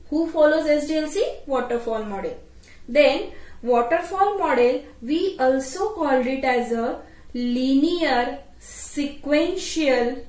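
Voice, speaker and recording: Female tutorial presenter, very unhurried delivery with repeated phrases, recorded in an echoey room away from the mic. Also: sits high, a little mellow. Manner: repeats phrases, adds checking tags. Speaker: teacher. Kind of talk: explanatory tutorial lecture. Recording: roomy echo, distant microphone.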